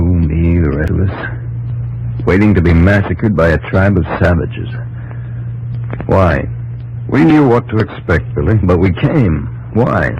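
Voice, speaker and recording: Dramatic frontier officer, muffled radio. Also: male voice, low voice, a little hiss or hum, heavily acted. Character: resolute frontier expedition officer, brave and watchful. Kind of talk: old-time radio drama acting. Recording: dull old radio recording, loud music.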